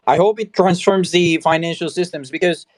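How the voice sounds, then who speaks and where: A man's voice: on the high side, steady tone, normal speed, dry recording. Dry-sounding man hosting a chat. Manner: conversational, many you know fillers. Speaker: host. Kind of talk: casual talk show conversation.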